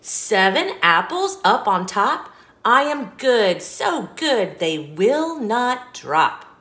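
A woman reads a children's storybook aloud in a high, very lively voice. She goes slowly, leaning into key words with expressive emphasis. Between lines of the story she speaks to the young listener in asides. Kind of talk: animated picture book read-aloud for young children.

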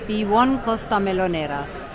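A woman's voice: mid-range voice, lively intonation, normal speed. A woman vlogger chattily narrating a casual walking tour along a seaside promenade, pointing out sights as she goes. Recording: busy crowd noise behind. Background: crowd.

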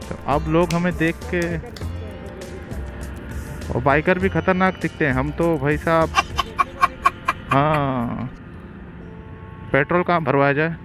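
Young male motovlogger, slightly high voice, casual on-the-road chat, music under it. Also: slow pace, long pauses. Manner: chatty, addresses viewers as guys. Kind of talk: casual vlog chat while riding. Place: on a motorbike on open roads, outdoors. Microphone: helmet-mounted phone.

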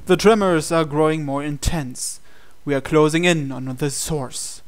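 A man reading a visual novel aloud as a dramatic narration, with deliberate pauses. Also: a little high. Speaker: gaming channel host. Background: game music.

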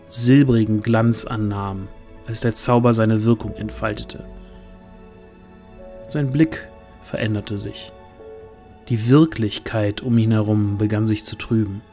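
A man's voice: lowish voice, dark tone. A man narrating a scripted fantasy audio drama in a dramatic storytelling style.

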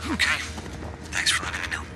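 A man's voice: low-pitched, animated, quick pace. A man playing a guarded, dutiful team commander in a video game, speaking in terse, formal radio phrases. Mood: guarded, subdued. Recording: game music behind.

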